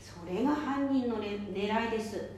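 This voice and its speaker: A woman's voice: on the high side, normal speed, a little mellow, lightly acted. A woman playing a patient, polite safety advisor who explains things step by step in an amateur radio drama. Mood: patient, advising. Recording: very echoey room, faint noise.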